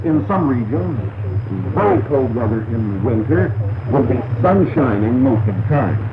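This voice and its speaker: Man on a crackly echoing shortwave broadcast. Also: medium pitch. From Soviet Union. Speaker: radio commentator answering listeners' questions. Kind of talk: semi-scripted question-and-answer radio talk. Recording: noisy, echoey, narrow-band 1960s shortwave broadcast. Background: shortwave radio noise.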